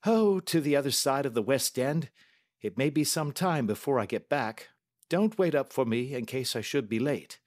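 A man's voice: medium pitch, lively intonation, moderate pace, a little mellow, voice pushed up, close to an everyday voice. A man reading aloud and voicing a middle-aged male detective in a precise, formal, assured manner. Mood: brisk.

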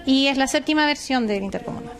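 Chilean woman, 30s, event director, high voice, casual interview delivery. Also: fast, fairly bright tone, soft background music. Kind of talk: informal interview answers. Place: small backstage room. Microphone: handheld microphone held by interviewer.

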